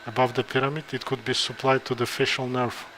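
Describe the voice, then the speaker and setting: Man, halting procedural commentary. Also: medium pitch, level tone, a bit bright. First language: Kazakh. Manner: halting, thinks aloud with pauses and restarts. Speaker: interventional neurosurgeon. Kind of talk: live commentary during a procedure. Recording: noisy room background.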